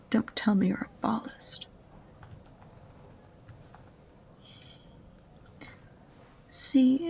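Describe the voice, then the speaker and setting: A woman playing an unenthusiastic, sarcastic witch librarian in an audio roleplay, speaking softly and breathily with a condescending, exasperated manner. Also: fairly high pitch, creaky, slightly rough, heavily acted, rough recording. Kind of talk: audio roleplay acting addressed to the listener.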